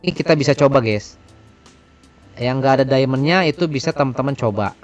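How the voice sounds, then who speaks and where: A man's voice: medium pitch, brisk, muffled recording. A man hosting a casual product demo and review. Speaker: reviewer and tutorial host. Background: faint music.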